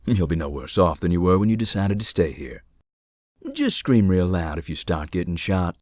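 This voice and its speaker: An actor playing a dry, sardonic man who believes in ghosts, speaking deadpan in game dialogue. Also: low voice, moderate pace, no echo.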